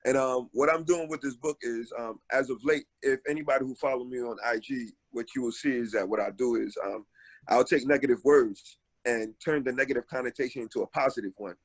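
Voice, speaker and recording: A man in his forties talks easily about his life, filling pauses with ums. His voice sits at a middle pitch. The sound is dry and close, as over a video call. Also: no echo, poor audio. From Haiti, raised in Brooklyn, New York. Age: 40s. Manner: relaxed, many filler ums, storytelling. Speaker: author guest. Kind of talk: casual interview talk.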